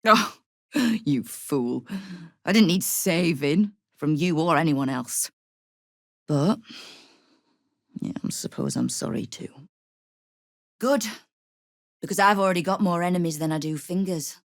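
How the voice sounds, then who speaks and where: Game role: hard-bitten female guild boss. Low voice, sardonic and in command, very dry studio sound. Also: no room sound. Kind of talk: video game character dialogue.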